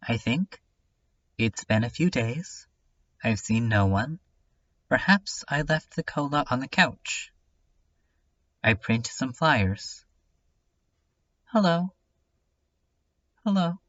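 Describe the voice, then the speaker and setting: A man playing a lonely, anguished narrator in a horror fanfiction drama, speaking in a brooding, halting monologue. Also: mid-range voice, expressive, dry recording. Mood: subdued, haunted. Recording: muffled audio under loud music.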